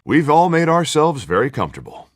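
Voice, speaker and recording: Lively, glib campaigning politician. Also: male voice, fairly high pitch, brisk, no echo, clean studio sound. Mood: jovial, showy. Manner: showy, jovial, smooth-talking. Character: charming, glib, ambitious male politician on the campaign trail. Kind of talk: video game voice acting.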